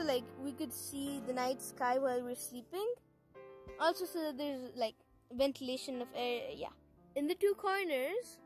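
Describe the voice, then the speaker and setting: A young-sounding female vlog host, explaining and instructing in a casual travel-vlog style. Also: sits high.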